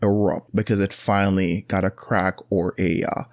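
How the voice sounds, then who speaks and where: A man holds forth on his solo podcast, his fairly low voice rambling through blunt advice. When he wants to stress a point, he jumps higher and louder. The sound is dry, with no room around it. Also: flat delivery, normal speed, creaky, dry recording. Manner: rambling, with frequent restarts and filler words. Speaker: podcast host. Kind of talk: casual solo podcast monologue.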